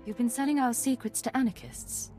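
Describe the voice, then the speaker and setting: An actress playing a stern, loyal female intelligence agent in a video game, speaking in a measured, serious way. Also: fairly high pitch, mellow, faint music behind, natural voice.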